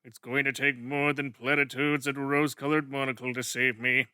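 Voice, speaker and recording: A man's voice: medium pitch, flat delivery, fairly quick, sharp tone, clear, resonant tone, no echo, studio quality, lightly acted. A man voicing a tiny, pompous male cartoon villain with grandiose, melodramatic wording, in a comic fan dub. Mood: gloomy.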